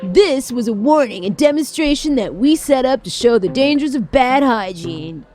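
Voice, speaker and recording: Teenage velociraptor skater boy for a game, played by a woman, smooth, deliberate announcer manner. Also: high voice, lively intonation, darkish tone, faint music behind, voice pushed up. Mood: commanding, announcing. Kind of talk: visual novel character read-aloud.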